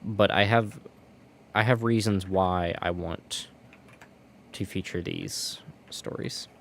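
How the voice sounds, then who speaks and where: A man's voice: low-pitched, slow pace, creaky, slight background noise. A man streaming from home, chatting casually while gaming, rambling and restarting his words.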